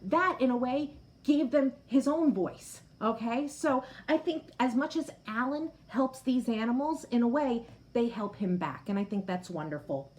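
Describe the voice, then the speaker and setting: A woman reading a picture book aloud to children like a teacher, expressive, pausing to explain and put questions directly to young listeners. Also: on the high side. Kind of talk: storybook read-aloud with explanatory asides.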